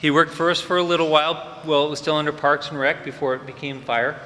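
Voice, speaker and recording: A man at a fire department ceremony, introducing each graduate with loose, unscripted remarks and plenty of "uh"s. His voice is bright and forceful. It rings through a large hall with long reverb and faint ambient noise. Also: fairly high pitch, steady tone, fast talker. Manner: warm off-the-cuff remarks with frequent fillers. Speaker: fire department EMS supervisor presenting cadets.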